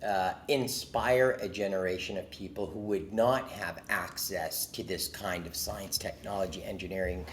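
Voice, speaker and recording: Earnest low-voiced man from Jordan. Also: normal speed, hardly any pauses, slight background noise. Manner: earnest, expressive storyteller. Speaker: podcast guest. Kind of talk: casual conversation.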